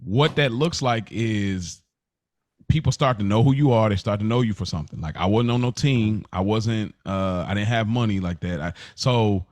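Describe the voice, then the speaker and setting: American man telling stories, deep and close-miked. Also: moderate pace, no room sound. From United States, Kentucky and Indiana. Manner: animated, slangy storyteller who acts out remembered conversations. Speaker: podcast host. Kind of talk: casual storytelling chat.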